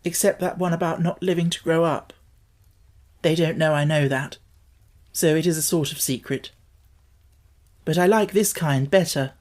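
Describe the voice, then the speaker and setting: A woman's voice: sits low, moderate pace, long pauses, a little mellow. A woman voicing a sickly, imperious young boy who is curious and insistent in manner. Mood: dreamy. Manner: demanding, insistent.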